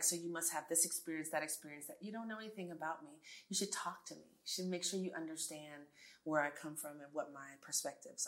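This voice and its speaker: Woman in her forties, low voice, earnest, emphatic, interview guest. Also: fairly bright tone. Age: 40s. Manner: earnest and emphatic, with frequent restarts and asides. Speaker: guest, tech entrepreneur. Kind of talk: conversational interview.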